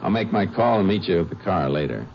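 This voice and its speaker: An actor playing a steady, shrewd Texas Ranger in a 1950s radio detective drama, terse and matter-of-fact. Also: male voice, low voice, fast, creaky. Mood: businesslike. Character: steady, shrewd Texas Ranger, male lawman narrating his case. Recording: muffled vintage radio recording with music.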